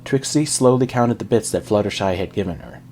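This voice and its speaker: A man's voice: on the low side, a little fast, darkish tone, faint music behind. A man reading a story aloud as an amateur narrator. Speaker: amateur fan fiction reader. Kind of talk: scripted storybook narration with character dialogue.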